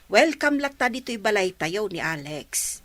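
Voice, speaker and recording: An actress playing a kind, poor village mother in a radio comedy drama, speaking gently and comfortingly. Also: mid-range voice, sing-song, measured pace. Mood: gentle.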